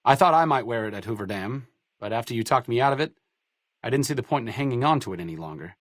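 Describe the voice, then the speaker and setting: A male actor voices an idealistic, educated wasteland doctor for a video game. He speaks at a middling pitch in articulate sentences with a dry, self-deprecating wit. The sound is close and dry, with no room on it. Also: animated, fast talker, no room sound, lightly acted. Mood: earnest. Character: wry, educated, idealistic doctor-researcher in a post-apocalyptic wasteland. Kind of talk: video game companion dialogue.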